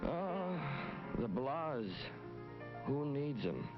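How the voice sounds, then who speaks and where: A man playing a folksy, wry pitchman in a vintage TV commercial voice-over, talking conversationally. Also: very animated, very slow. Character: folksy wry male pitchman for an upset-stomach remedy. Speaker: commercial announcer. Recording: dull old TV ad, music behind.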